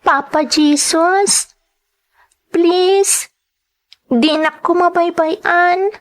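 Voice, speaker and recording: A woman's voice: very high voice, fairly even intonation, extremely slow, heavily acted. A woman playing a poor, hurt but determined young schoolgirl in an Ilocano drama, with a Filipino Ilocano accent and a plaintive, pleading delivery. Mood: tearful prayer. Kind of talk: Ilocano radio-style drama acting.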